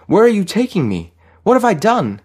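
A man's voice: medium pitch, very animated, fairly quick, pitched above the performer's own voice. Man acting a flustered, protesting shopkeeper. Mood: alarmed. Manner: pleading, exasperated, complaining. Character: flustered, protesting, anxious middle-aged shopkeeper husband. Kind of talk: audio short story character reading.

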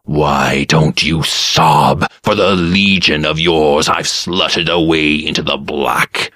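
A cruel nobleman who gloats and taunts in a deep, unhurried voice, as a male narrator puts him on. His menace comes out cheerful and drawn-out, each threat savored slowly. It is heard close and dry, in a storybook reading. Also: fairly even intonation, pushed and bright, no room sound, heavily acted. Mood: gloating.

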